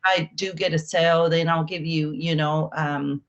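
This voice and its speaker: A middle-aged woman with an Argentine Spanish accent talks in a chatty, upbeat way, full of fillers and quick restarts. Her voice sits on the low side for a woman. The audio is dull and lo-fi, like a remote call. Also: fairly even intonation. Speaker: real estate agent. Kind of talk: conversational interview.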